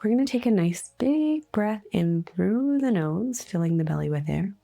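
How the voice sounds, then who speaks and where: A woman leading a guided meditation in a soothing, unhurried, gently instructive way, with breathy phrase endings. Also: mid-range voice, animated. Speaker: guided meditation host, energy healer. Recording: soft music bed behind voice. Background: faint music.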